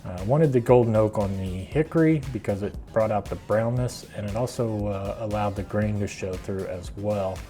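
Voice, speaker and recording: A man's voice: on the low side, moderate pace, hardly any pauses, warm tone, faint music behind. A middle-aged man with an American accent, casually explaining a do-it-yourself project in a plainspoken, unscripted way. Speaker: woodworking video host.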